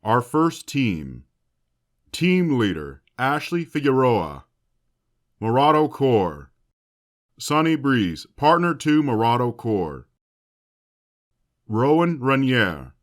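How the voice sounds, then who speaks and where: A man playing a grave, compassionate academy headmaster in an audio drama, speaking in formal, measured oratory. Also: medium pitch. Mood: formal, announcing. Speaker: voice actor. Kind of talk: fan audio drama speech.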